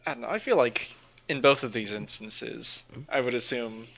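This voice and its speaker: A man's voice: medium pitch, normal speed, vocal fry, fairly bright tone. A man co-hosting a podcast, casually bantering and reading out odd news stories in an informal, sweary way. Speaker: podcast co-host.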